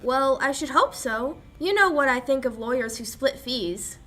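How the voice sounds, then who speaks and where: Bright, high actress as a sharp-tongued sweetheart. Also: female voice, animated. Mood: annoyed. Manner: brisk, scolding, sarcastic. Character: exasperated, sharp-tongued young fiancée. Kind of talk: 1940s radio play acting.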